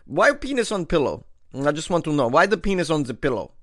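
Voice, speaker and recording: A man's voice: on the high side, sing-song, a little fast, fairly bright tone, dry recording, clean studio sound. Man faking a Russian accent, phone caller, broken clipped English, insistent. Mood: insistent, puzzled. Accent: put-on Russian. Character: man with a put-on Russian accent phoning police.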